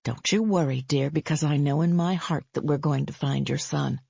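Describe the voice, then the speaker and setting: A kindly elderly grandmother, warm and reassuring, in a lowish voice, as a woman narrator puts her on. The read is dry and slightly muffled. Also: normal speed, gravelly, slightly rough, no room sound, full character voice. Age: older.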